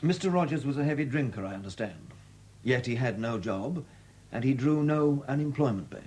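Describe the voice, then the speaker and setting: An actor playing a courteous, methodical police superintendent in a 1970s radio drama, questioning in a polite, measured, formal way. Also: male voice, medium pitch, animated, a little mellow, slightly rough. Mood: probing, formal. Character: courteous, methodical male London detective superintendent. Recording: noisy old 1970s radio recording.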